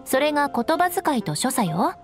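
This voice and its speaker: A haughty young aristocrat, high composed voice, frank and aloof, anime game with soft music. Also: female voice, sing-song, moderate pace. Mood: composed, instructive. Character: proud, cool young noblewoman, frank and aloof.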